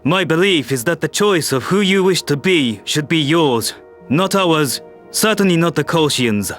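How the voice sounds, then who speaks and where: A firm, diplomatic statesman, played by a man speaks in a fairly high male voice. It is a sci-fi audio drama with quiet music beneath. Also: lively intonation, unhurried. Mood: firm, solemn. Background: faint music.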